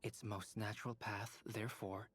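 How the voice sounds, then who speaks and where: An actor playing a composed young male scholar in a video game, explaining things precisely and in a measured way. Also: on the low side, little pitch movement, a bit bright, breathy edge. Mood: calm, analytical.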